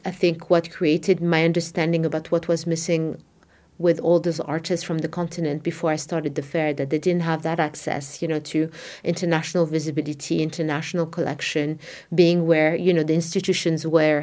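Low-voiced woman, reflective interview answers. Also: little pitch movement, brisk, a little mellow. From Morocco. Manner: thoughtful, many "you know" fillers and restarts. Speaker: art fair founding director.

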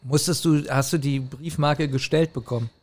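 A man's voice: medium pitch, steady tone, normal speed. A man chatting casually as a podcast co-host, playful, teasing and given to musing aloud. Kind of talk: casual chat.

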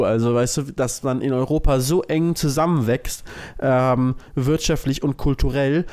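A man's voice: mid-range voice, darkish tone. A man co-hosting a podcast, explaining things loosely in casual, colloquial talk. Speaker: podcast co-host. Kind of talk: casual chat.

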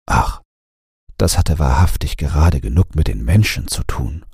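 A man reading a bedtime story as an audiobook narrator, in a gentle, measured manner. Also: very deep voice, lively intonation, creaky, husky, no echo, clean studio sound.

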